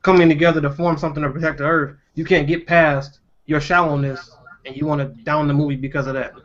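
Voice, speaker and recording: Higher-pitched man, dull lo-fi recording. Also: level tone, fast talker, fairly bright tone. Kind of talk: casual group chat. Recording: dull lo-fi audio.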